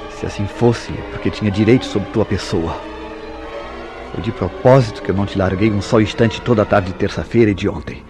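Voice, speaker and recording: A man acting a jealous, tormented lover in a radio soap opera, with theatrical formal diction and dramatic pauses. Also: deep voice, lightly acted. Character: Mauro, jealous, tormented lover confessing a crime.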